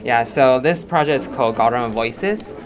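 A woman's voice: extremely low pitch, moderate pace. A woman from Taiwan explaining her installation, hesitant and restarting words. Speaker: student presenting her project. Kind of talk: exhibition demo explanation.

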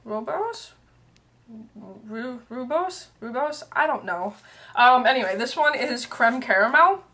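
Bright chatty young woman with a tiring voice. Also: on the high side, lively intonation, slow pace. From Canada. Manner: rambling, lots of fillers and asides. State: voice worn and fading. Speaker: beauty and tea vlogger. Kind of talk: casual chatty vlog. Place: small room indoors. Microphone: webcam at arm's length.

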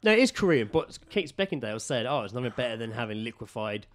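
A man chats loosely as a comedy podcast co-host. He riffs in a jokey, sweary way, with a mid-pitched voice and an easy conversational delivery. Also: big pitch swings, fast, slight background noise. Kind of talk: casual comic banter.

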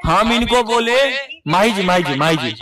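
Insistent high-pitched man on phone. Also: lively intonation, moderate pace. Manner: insistent, repeats himself. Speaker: event organiser. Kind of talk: heated phone negotiation. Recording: phone call recording with crowd noise.

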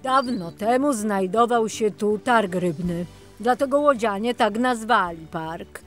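A woman's voice: mid-range voice, a little slow, soft background music, close to an everyday voice. A woman voicing a friendly, chatty male boatman tour guide in a children's audiobook, in a welcoming storytelling manner.

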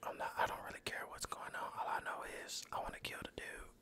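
A tired man rambling in a soft, mostly unvoiced whisper over a game, close to the mic, trash-talking enemies as he plays. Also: fast. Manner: rambling, laid-back, trash-talks the game. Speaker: gaming channel host. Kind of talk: whispered gameplay commentary.

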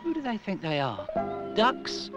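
A man's voice: sits high, huge pitch swings, measured pace, over loud music, heavily acted. A man voicing a cheeky, cocky, naive little cartoon robin, chatting in a 1970s public information film. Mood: cocky, cheerful.

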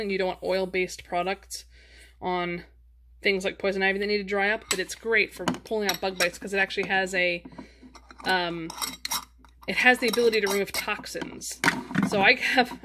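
A woman talks at a middling pitch in a casual, rambling vlog style. She is chatty and a little self-deprecating, making it up as she goes. The handheld home recording has noticeable background noise. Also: steady tone, a little fast. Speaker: home canning vlogger. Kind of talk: casual unscripted show-and-tell. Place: indoors at home. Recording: clear background noise, handling clicks.

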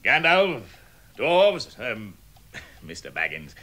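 An actor playing a pompous, solemn dwarf leader who speechifies ceremoniously in a radio drama. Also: male voice, mid-range voice, wildly swooping pitch, slow pace, bright, forceful tone, exaggerated voice. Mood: grandly solemn. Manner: long-winded, ceremonious speech-making. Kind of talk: British radio drama acting.

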